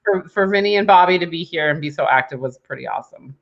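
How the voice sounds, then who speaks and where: A woman in her thirties rambles through a casual chat as co-host, giggling and tossing in asides. Her voice sits a little low, and the sound is dull and lo-fi. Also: fast. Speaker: podcast co-host.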